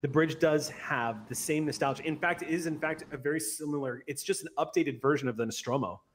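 A man in his forties running a tabletop roleplay session as game master, narrating descriptively with frequent pauses. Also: a little high, slight background noise. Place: indoor room at home, on a video call. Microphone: large microphone up close on a boom.

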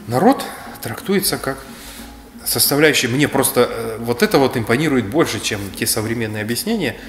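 Man in his 30s from Kalmykia, measured lecturer, roomy echo with faint music behind. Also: mid-range voice, a bit bright. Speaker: speaker on history. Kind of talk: reflective explanatory talk. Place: bright indoor room.

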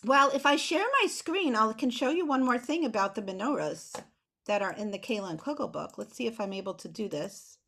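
A woman's voice: on the high side, expressive, a little fast, sharp tone. A middle-aged American woman chatting casually with children on a video call, explaining things in an encouraging way. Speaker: children's author and illustrator as guest. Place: small home room with bookshelf, indoors. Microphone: computer mic on video call.

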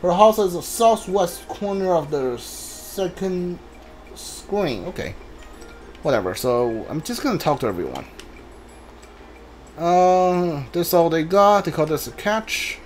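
High-voiced man doing game commentary. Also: lively intonation, slow, slight background noise. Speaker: video game streamer. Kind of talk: casual playthrough commentary. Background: game music.

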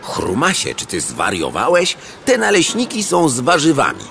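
A man's voice: medium pitch, normal speed, creaky, full character voice. An actor voicing a cocky young male cartoon dog who teases and boasts. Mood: mocking. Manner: boastful, teasing, very lively. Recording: studio cartoon audio with music behind.

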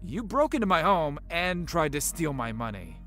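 An actor playing a menacing, smug billionaire CEO in an audio drama, taunting and condescending. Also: male voice, on the high side, sing-song, moderate pace, a bit bright. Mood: smug, mocking. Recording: music bed behind dialogue.

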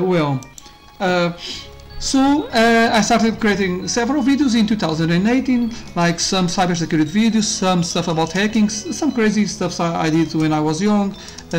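Casting note: man, chatty game commentary, loose and rambling, with faint game music underneath. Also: sits high. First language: Portuguese. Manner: rambling, self-interrupting, with frequent fillers and laughs. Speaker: YouTuber reviewing a game. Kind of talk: casual gameplay commentary.

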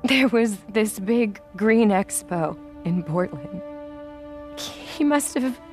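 An actress with an American accent playing an earnest, guilt-ridden scientist who survived an outbreak, speaking haltingly in a video game drama. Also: female voice, mid-range voice, animated. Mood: somber, grieving. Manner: halting, repeats phrases as she confesses. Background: music behind the voice.

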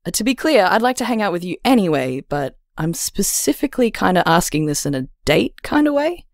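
Woman acting a shy, friendly voicemail caller, halting, tentative, creaky, dry close sound, audio drama. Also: fairly low pitch, big pitch swings, no echo. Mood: shy, hopeful. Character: nervous, friendly person asking someone on a date.

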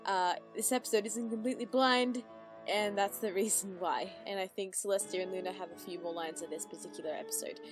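A woman giving casual reaction commentary as a video commentator in voice-over. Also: medium pitch. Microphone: voice-over with background music.

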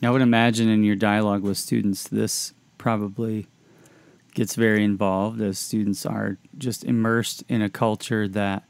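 A man's voice: lowish voice, level tone, normal speed, gravelly, smooth, no room sound. A man hosting a podcast discussion about faith in a reflective, conversational style. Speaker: podcast host.

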